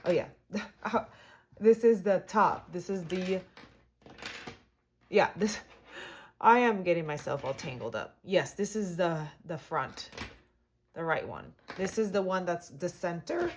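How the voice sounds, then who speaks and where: A woman hosting a sewing tutorial, chatty and upbeat with lots of fillers, her voice hoarse and cutting in and out. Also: on the low side, animated, slow, pauses often, slight background noise. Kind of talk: casual how-to demonstration.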